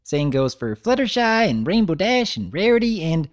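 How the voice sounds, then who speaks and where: A young cartoon filly acted out by a narrator, pitched well up and very animated. She chatters in a folksy, eager way, like a keen little sister in a storybook. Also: moderate pace, close to an everyday voice. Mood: chatty, eager. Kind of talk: dramatic reading of fan fiction.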